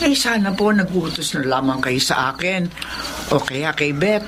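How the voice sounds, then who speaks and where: An actress with a Filipino accent playing a middle-aged, worried housekeeper in a radio drama, respectful and hesitant. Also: female voice, deep voice, animated, normal speed, noisy background. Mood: concerned, eager to help. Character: deferential, worried housekeeper, mother of a grown daughter.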